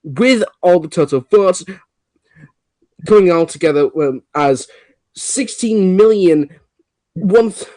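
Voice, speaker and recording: A man as a high-pitched, halting election commissioner. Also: darkish tone, no echo. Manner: halting, stumbles over numbers and names. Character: formal election commissioner announcing results. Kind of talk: amateur table read of a play.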